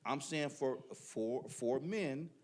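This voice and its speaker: A man's voice: mid-range voice, slow pace. A middle-aged man in casual podcast debate, talking emphatically and repeating words when others cut in. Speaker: podcast guest.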